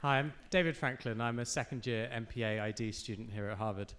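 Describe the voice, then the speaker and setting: A man's voice: mid-range voice, fairly quick, fairly bright tone, smooth, a faint crowd. A young adult man, a student, putting a prepared question to a speaker at a public forum.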